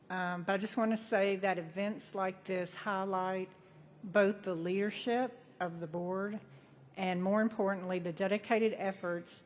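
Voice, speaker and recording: A woman speaks to a gathering as a board representative, delivering formal thanks and welcomes. Her voice carries long reverb, like amplified speech in a large hall. The pitch sits in an ordinary middle range for a woman. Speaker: airport authority board representative.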